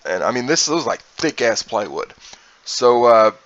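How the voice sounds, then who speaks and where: Male streamer, mid-pitched, for off-the-cuff personal storytelling; rambling, informal, slips into quoted dialogue. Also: sing-song, measured pace, a bit bright, dull audio. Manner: rambling, acts out quoted dialogue, mild profanity. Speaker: gaming streamer telling personal stories. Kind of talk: casual anecdotal storytelling.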